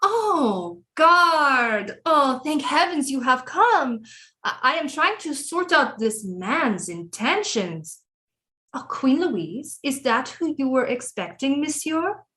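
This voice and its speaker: A woman playing a cunning, imperious French queen mother with grandiose, deliberate period speech in an audio drama. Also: high voice, sing-song, sharp tone, exaggerated voice. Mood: feigned innocence. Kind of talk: theatrical audio drama acting.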